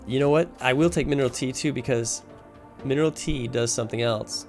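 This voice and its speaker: A man's voice: mid-range voice, fairly quick. A man casually talking through a video game as its guide, explaining his choices and thinking aloud as he plays. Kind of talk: casual walkthrough commentary while playing. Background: music.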